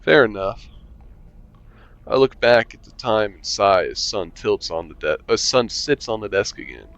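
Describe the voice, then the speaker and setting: A man's voice: on the low side, expressive, measured pace, fairly bright tone, lo-fi sound. Dry, sarcastic man reading a game aloud. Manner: dry, sarcastic asides, swears casually. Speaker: game streamer. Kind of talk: reading a visual novel aloud with commentary.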